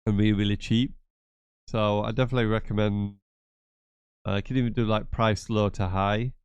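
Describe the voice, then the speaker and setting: A man's voice: lowish voice, fairly even intonation, normal speed, clean tone, no echo. Stuffy-nosed man, chatty tutorial. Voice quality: nasal. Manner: chatty, repetitive, rambling asides. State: congested from a cold. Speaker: gaming channel host. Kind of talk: casual screen-share tutorial.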